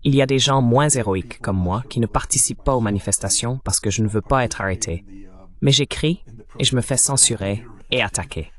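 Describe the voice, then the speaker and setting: A man's voice: medium pitch, a little fast. A man delivering dubbed political commentary as the translated voice of an interview guest.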